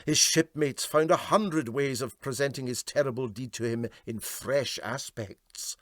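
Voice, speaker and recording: A man's voice: mid-range voice, a little slow, no echo, studio quality. A man with a Scottish accent reading a comic story aloud as narrator.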